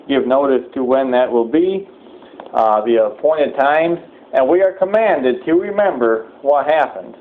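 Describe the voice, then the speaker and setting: Phone-like recording of a solemn male preacher. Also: mid-range voice. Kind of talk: sermon-like address with announcements. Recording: thin phone-like sound, clear background noise.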